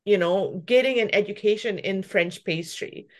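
Guest: middle-aged woman from Malaysia, pastry chef, candid and reflective, quick to laugh. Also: medium pitch. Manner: reflective, laughs often while telling her story. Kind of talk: casual interview conversation.

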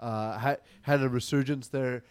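A man's voice: mid-range voice, fairly even intonation, moderate pace, clear, resonant tone, dry recording. A young man co-hosting a sports podcast, rambling with lots of fillers and jokes. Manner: rambling, filler-heavy, joking. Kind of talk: casual sports chat.

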